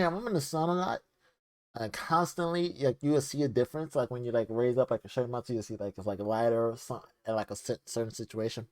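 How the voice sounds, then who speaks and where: A man's voice: mid-range voice, a little mellow, no room sound. Rambling young man reacting on a mic. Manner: rambling, filler-heavy. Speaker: video reactor. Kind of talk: casual reaction chat. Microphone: desk microphone.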